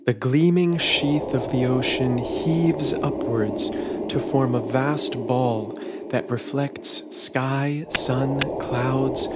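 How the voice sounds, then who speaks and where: Slow-reading man under loud music. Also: medium pitch. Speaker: reader. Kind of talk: literary science fiction reading.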